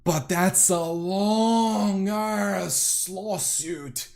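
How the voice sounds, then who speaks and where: A man's voice: sits high, drawn-out words, darkish tone. A young man from Serbia, rambling through casual reaction commentary as a streamer, swearing often and correcting himself.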